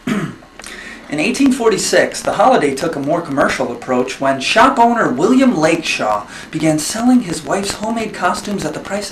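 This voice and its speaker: Male host, higher-pitched, awkward halting links, mock fun facts, echoey room, faint noise. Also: a bit bright. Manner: awkward, halting between segments. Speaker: host of comedy special.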